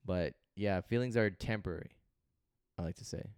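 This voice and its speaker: A young man talks easily on a podcast, slangy and relaxed, dropping "you know" all through. His voice sits low and comes through clear and resonant. The sound is close and dry. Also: moderate pace, no room sound. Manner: laid-back, slangy, lots of "you know". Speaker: podcast co-host. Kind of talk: casual chat.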